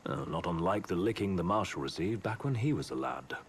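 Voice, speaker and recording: A man's voice: deep voice, expressive, a little fast, vocal fry, slight background noise. A man playing a refined, composed young royal adviser in a video game, with formal, bookish, precise wording. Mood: composed, wry. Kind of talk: video game cutscene acting.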